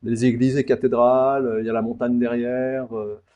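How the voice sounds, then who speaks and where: A man's voice: medium pitch, little pitch movement, moderate pace, dark tone, clean tone, lo-fi sound. A man from Paris, hosting a casual interview in an informal, blunt, digressive way and often repeating words. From Paris, France. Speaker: podcast host, interviewer.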